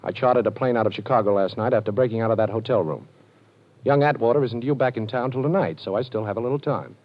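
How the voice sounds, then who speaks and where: An actor playing a cool, wisecracking ex-con detective in a 1940s radio drama, wry and self-assured. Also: male voice, mid-range voice, fast, lightly acted. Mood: brisk. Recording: dull lo-fi old radio broadcast.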